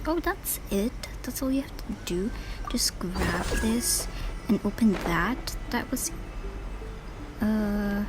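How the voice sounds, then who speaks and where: A woman giving casual live gameplay commentary, with informal and expressive reactions. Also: medium pitch, low-quality recording. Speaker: game streamer reacting to story.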